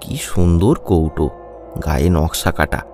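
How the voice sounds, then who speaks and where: A man narrating a suspenseful animated horror story in a dramatic storytelling way. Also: low voice, measured pace. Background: background music.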